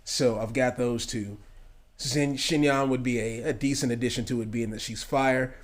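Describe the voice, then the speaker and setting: Casual man with a mid-pitched voice. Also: steady tone, normal speed. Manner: informal, thinking aloud. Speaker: gaming video creator. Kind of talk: casual game commentary.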